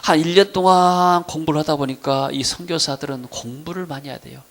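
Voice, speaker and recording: Middle-aged male pastor, Jeolla accent, creaky gravelly voice, sermon delivery, emphatic then quieter storytelling. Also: on the high side, steady tone, fairly quick. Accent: Jeolla (Gwangju). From Gwangju region, South Korea. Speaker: pastor preaching. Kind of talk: sermon with personal testimony.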